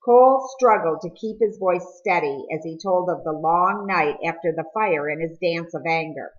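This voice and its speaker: A woman reading a novel aloud as expressive storybook narration. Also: fairly low pitch, moderate pace, lo-fi sound. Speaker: audiobook reader.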